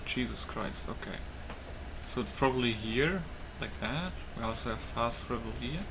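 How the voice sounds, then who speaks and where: A man's voice: mid-range voice, normal speed, background noise. A man giving casual let's play commentary, thinking aloud informally in short bursts. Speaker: gaming video host.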